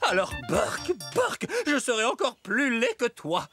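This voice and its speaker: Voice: medium pitch, wildly swooping pitch, normal speed, over music. An actor playing a theatrical, indignant and crafty bearded dwarf in a children's cartoon. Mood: earnest, resigned. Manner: theatrical, exclamatory. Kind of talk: animated children's cartoon acting.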